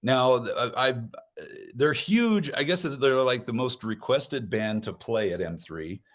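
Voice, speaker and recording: A man's voice: mid-range voice, lively intonation, unhurried. A man chatting casually as a guest, rambling, joking and often cutting himself off. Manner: rambling, self-interrupting, joking. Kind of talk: casual chat about concerts.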